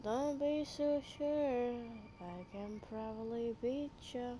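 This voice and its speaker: A woman casually reacting to game dialogue as she plays, in a deadpan, teasing manner. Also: fairly high pitch, slow pace, slight background noise, low-quality recording. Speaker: gamer playing through a story quest. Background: game music.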